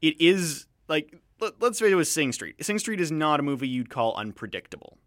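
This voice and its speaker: Rambling male radio host, bright voice. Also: on the high side, big pitch swings, dry recording, studio quality. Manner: rambling, digressive, halting with repeated false starts. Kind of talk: casual film review chat.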